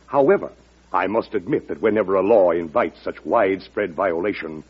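An actor playing a formal, loyal and principled cabinet statesman in an old-time radio drama, speaking gravely and emphatically. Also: low voice, moderate pace. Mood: grave, respectful. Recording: muffled vintage radio drama recording.

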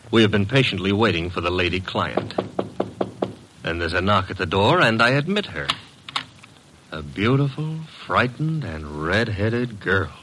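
A man acting a cheeky young detective's assistant in a vintage radio drama, talking in a wry, snappy way on a noisy old recording. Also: on the low side. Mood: wry storytelling. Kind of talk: 1950s radio detective acting and first-person narration.

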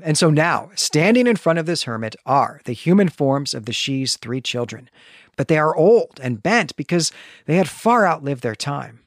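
Lively man recapping a story. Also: on the high side, normal speed, dry recording, studio quality. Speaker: podcast co-host. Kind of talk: book-club podcast recap.

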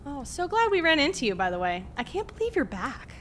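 A woman's voice: sits high, sing-song, brisk, a bit bright, a little hiss or hum. A woman playing a breezy, chatty friend with morbid relish in an audio drama. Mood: chirpy. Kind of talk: naturalistic audio drama acting.

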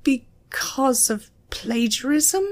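A woman's voice: a little high, slow, pitched above the performer's own voice. A woman with a British accent voicing an anxious, bewildered young male student in an audio story, hesitant and incredulous. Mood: nervous, puzzled.

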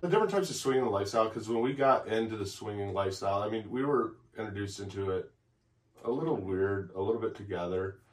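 Low-voiced man in an echoey room. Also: quick pace. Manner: relaxed, repeats words when starting. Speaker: podcast co-host. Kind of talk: casual chat. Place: home office, on a couch. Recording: echoey room, distant mic.

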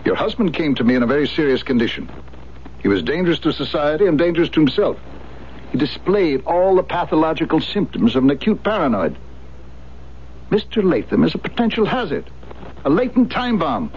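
An actor playing a stern, condescending asylum doctor in a 1970s radio drama, formal, firm and patronizing. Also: male voice, fairly high pitch, big pitch swings, a little fast, a little mellow. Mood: grave, firm. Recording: muffled, faintly noisy 1970s radio broadcast.

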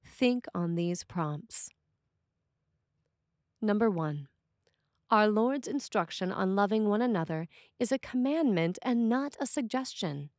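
A woman reads a prepared sermon as a priest would. Her phrasing is deliberate and measured, at an average pitch for a woman. The sound is dry, with almost no room in it. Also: expressive, a little mellow, no room sound. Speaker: priest reading a written sermon. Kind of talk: scripted sermon reading.

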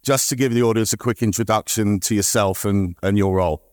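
A man's voice: on the low side, fairly even intonation, fairly quick, a little mellow, dry recording, studio quality. A middle-aged man, moderating a panel on a conference stage in a loose, conversational way. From United Kingdom.

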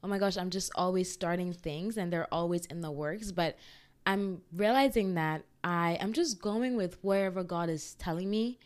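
Smooth-voiced chatty young woman host. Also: lowish voice, moderate pace. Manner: chatty and excitable, full of fillers. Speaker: podcast host. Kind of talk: casual solo chat.

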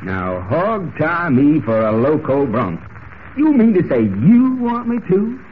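A folksy, stubborn cowboy drawls in an American Western accent, his raised voice leaping up and down excitably. It is broad radio serial acting on a thin vintage recording with faint hiss. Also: on the high side, slow pace, narrow, tinny audio. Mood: startled.

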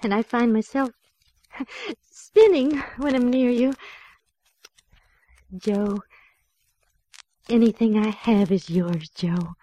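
Lovestruck proud lady, radio drama, slow halting speech, higher-pitched, theatrical. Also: expressive, mellow, no room sound, low-quality recording. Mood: swooning, tender. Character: lovestruck, wealthy, wordy woman, tender then sharply proud. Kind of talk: old-time radio drama acting.

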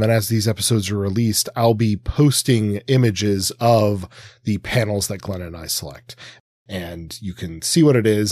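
A man with a lowish voice speaks as a co-host on a literary podcast. His delivery is casual and somewhat halting, with qualifications along the way. The recording is dry and free of room sound. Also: darkish tone, no room sound.

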